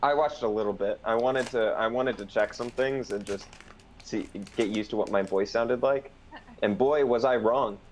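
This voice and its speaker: A young man narrating as game master in a casual voice chat, relaxed and hesitant, with many fillers. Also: lowish voice, moderate pace, a little hiss or hum.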